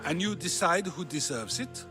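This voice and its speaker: An actor playing a thoughtful, questioning philosopher of ancient Athens in a video game, measured and probing. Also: male voice, on the high side, animated, close to an everyday voice. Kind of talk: dramatic video game cutscene acting. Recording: prominent music behind the voice.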